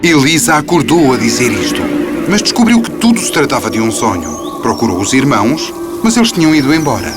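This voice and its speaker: A man narrates a children's fairy tale in a European Portuguese accent. His voice is mid-pitched and rises and falls with lively movement. Loud music plays underneath throughout. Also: a little fast.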